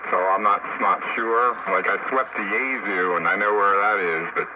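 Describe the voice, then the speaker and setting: A man's voice: fairly low pitch, steady tone, normal speed. Man on noisy, muffled two-way radio. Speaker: amateur radio operator. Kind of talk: casual on-air radio chat. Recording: noisy, band-limited two-way radio transmission.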